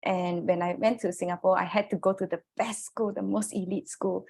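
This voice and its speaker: A woman's voice: medium pitch, fairly even intonation, normal speed, vocal fry, darkish tone, breathy edge. A woman from Malaysia co-hosting a podcast, candid and joking about herself. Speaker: podcast co-host. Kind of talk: casual podcast conversation.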